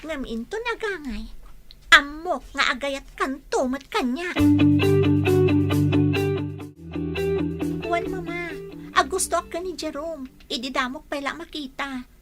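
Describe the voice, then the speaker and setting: A woman's voice: high-pitched, expressive, moderate pace, loud music behind the voice. A woman acting a brash, stubborn young woman chasing a man in an amateur audio drama. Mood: scheming. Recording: clearly noisy home recording with clicks.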